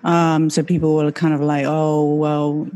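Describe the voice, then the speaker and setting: A woman's voice: deep voice, flat delivery, unhurried, dark tone. A middle-aged woman from Taiwan, a native Mandarin speaker, talking as a doctor guest on a podcast in a friendly, reflective way with fillers. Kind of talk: casual podcast interview.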